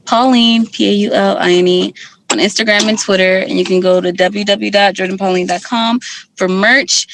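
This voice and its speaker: A woman's voice: mid-range voice, fairly even intonation, moderate pace, noisy background. A young woman from Guyana, guest musician in a casual interview, talking candidly with lots of fillers.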